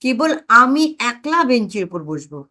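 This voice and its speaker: A woman's voice: on the high side, big pitch swings, moderate pace, pushed and bright, full character voice. A woman voicing a blustering, scheming older family man in a comic audio story, exclaiming and sure of himself. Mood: bossy.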